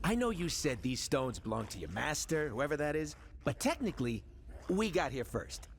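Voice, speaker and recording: A cocky wisecracking space adventurer. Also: male voice, on the high side, big pitch swings, moderate pace, slight background noise. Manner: breezy, joking.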